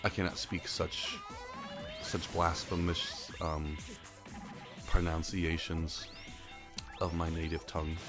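A young man with a deep, low voice whose first language was Japanese. He rambles and jokes at his own expense in loose, casual commentary while he plays a game for his channel. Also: flat delivery, slow, pauses often, over music. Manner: rambling, self-mocking, chatty. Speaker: gaming channel host.

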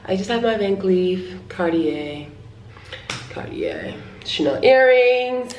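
A woman's voice: mid-range voice, lively intonation, a little mellow, roomy sound, in a large hall, slight background noise. A woman in her twenties talking casually and playfully to a camera held at arm's length in a hotel room. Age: 20s. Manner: playful, chatty, drawn-out emphasis. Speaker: vlogger.

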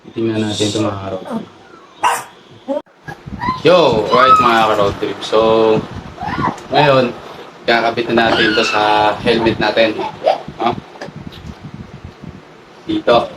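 A man with a Filipino accent giving a casual hands-on demo, haltingly thinking aloud as he works. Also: on the low side, fairly even intonation. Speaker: motovlog host. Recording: distant mic with clear background noise.

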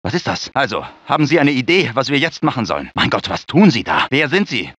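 Nervous lab scientist guy from a video game, formal n querulous, pitch all over, dry sound. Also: very animated, a little fast, fairly bright tone, slightly rough, no echo. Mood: fussy, puzzled. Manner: formal, querulous, easily panicked. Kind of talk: dubbed video game barks.

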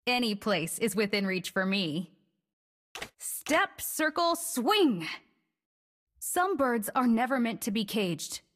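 A woman's voice: fairly high pitch, big pitch swings, takes it slowly, noisy background. A woman voicing a cocky, agile young female assassin in a video game, teasing and playful in her lines.

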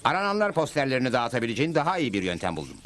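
Cowboy hero, cartoon dub, male actor: confident, brisk, mid-range pitch, strongly varied melody. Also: sing-song, background noise, close to an everyday voice. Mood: resolute. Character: calm, confident cowboy hero, cool and resolute. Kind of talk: dubbed cartoon acting. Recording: loud music bed under the dialogue.

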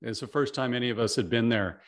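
A man's voice: medium pitch, fast talker, fairly bright tone. A middle-aged man from Canada hosting an interview over a video call, friendly and full of "you know" fillers. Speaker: podcast host. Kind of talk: casual interview chat. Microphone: video call, desk mic.

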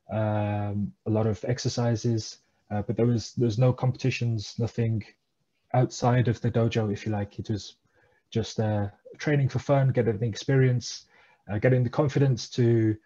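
A man's voice: medium pitch, warm tone, lo-fi sound. Thoughtful man on a video call. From England. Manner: hesitant, many uh fillers, thoughtful. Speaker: guest. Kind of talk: casual interview over video call. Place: small room at home, indoors. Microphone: headset on video call.

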